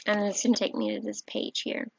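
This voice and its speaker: A soft-spoken woman, a teacher, gently walking viewers through a website on screen, with a warm voice and frequent fillers. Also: mid-range voice, monotone, a little fast, gravelly, husky. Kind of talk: screen-recorded tutorial walkthrough. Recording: dry, slightly dull home recording.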